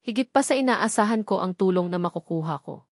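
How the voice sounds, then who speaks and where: A woman's voice: mid-range voice, expressive, fairly quick, a little mellow, no echo, studio quality. A woman with a Filipino accent narrating a dramatic story in the first person.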